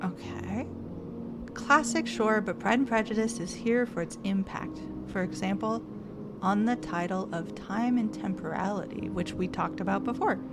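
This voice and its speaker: A woman's voice: medium pitch, moderate pace. Chatty woman thinking aloud over game music. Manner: thinking aloud, chatty, reads text from the screen. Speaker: streamer playing a game. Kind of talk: casual let's-play commentary.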